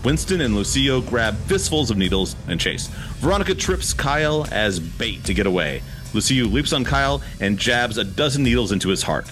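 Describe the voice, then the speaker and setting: A man's voice: mid-range voice, very animated, normal speed, over music. A man hosting a podcast, chatting casually and narrating scripts. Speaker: podcast host.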